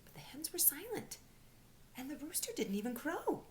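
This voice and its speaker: A woman reading aloud to children like a teacher, expressive, often dropping into breathy, hushed storytelling. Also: high-pitched, moderate pace. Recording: faint background noise.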